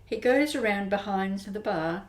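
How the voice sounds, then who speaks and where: A woman narrating an amateur radio drama in a steady, descriptive way. Her voice sits at a middle pitch. The recording has a roomy echo around it. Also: unhurried.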